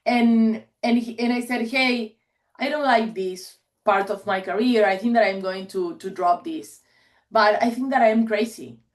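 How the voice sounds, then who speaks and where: A woman's voice: on the high side, unhurried. A woman in her forties, a native Spanish speaker, gives a presentation to an online meeting, telling stories in an earnest, chatty way with frequent laughs. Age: 40s. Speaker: guest speaker.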